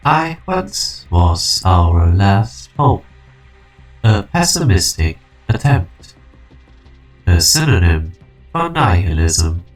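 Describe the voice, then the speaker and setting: A man acts out an ancient synthetic guardian of a dying civilization. He speaks very slowly and gravely, with a creaky, lowish voice and weary grandeur. Music plays under this game fan-dub performance. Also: exaggerated voice, rough recording. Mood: grandiose, bleak. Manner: grandiose, slow, ponderous. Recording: music behind the voice.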